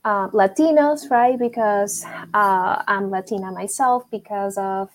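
A woman's voice: medium pitch, a little slow, a little hiss or hum. A woman in her forties, a therapist from Venezuela, speaks English. She tells her story reflectively with many ums, in a casual interview tone. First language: Spanish. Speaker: therapist guest.

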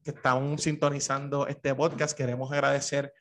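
A man with a Puerto Rican accent hosting a podcast interview, speaking formally and politely and often pausing with "eh". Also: medium pitch, flat delivery, fairly bright tone. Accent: Puerto Rican Spanish. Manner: formal and deferential, fills pauses with "eh". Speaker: podcast host. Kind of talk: formal interview with a sponsor read.

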